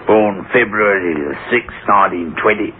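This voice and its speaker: An actor playing an old, wary but kindly cemetery gravedigger with a gravelly voice, in a 1960s radio drama. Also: male voice, fairly low pitch, moderate pace, narrow, tinny audio, lightly acted. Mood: sympathetic, apologetic. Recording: noisy band-limited old radio recording.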